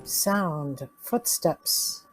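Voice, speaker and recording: A woman reading out sound-effect cues in a flat, announcing way for a live radio play. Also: low voice, drawn-out words, faint music behind, poor audio. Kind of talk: reading stage cues aloud.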